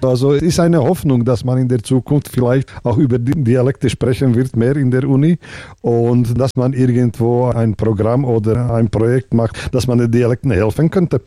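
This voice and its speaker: A man hosting a radio interview, asking calm, measured questions. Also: medium pitch, dry recording.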